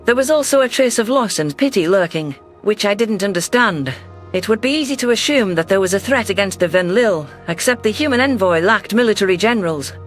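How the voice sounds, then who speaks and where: A man's voice: high voice, expressive, fairly quick, a bit bright. A man narrating a dramatic scripted science fiction story. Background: faint music.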